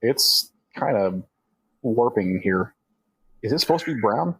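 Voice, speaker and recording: Man playing a blunt, husky bluffing crook. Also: on the low side, expressive, a little slow, fairly bright tone, full character voice, low-quality recording. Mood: needling.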